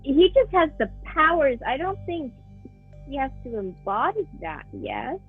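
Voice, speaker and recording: A woman's voice: sits high, wildly swooping pitch, a little slow, soft background music. A woman playing a tabletop game who talks in a blunt, matter-of-fact way. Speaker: player. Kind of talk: casual tabletop game chat. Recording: dull lo-fi sound.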